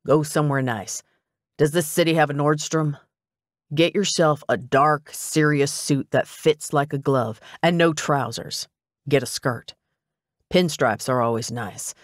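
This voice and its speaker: A woman's voice: deep voice, a little slow, no room sound, studio quality. A woman voicing a brusque, self-assured older male detective with a clipped, commanding manner in an audiobook. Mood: bossy, matter-of-fact.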